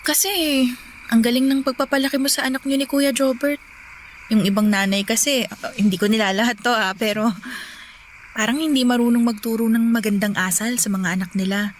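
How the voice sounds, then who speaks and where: Woman acting as a high-voiced, affectionate younger sister. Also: steady tone, brisk, a little hiss or hum, lightly acted. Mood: fond, admiring. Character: affectionate younger sister, a devoted doting aunt. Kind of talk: radio drama dialogue in Tagalog.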